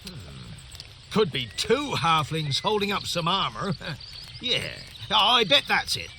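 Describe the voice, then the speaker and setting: A man's voice: high-pitched, big pitch swings, takes it slowly, sharp tone, a little hiss or hum, heavily acted. A man playing a dim, jittery village peasant on watch, in a comic video game. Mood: suspicious.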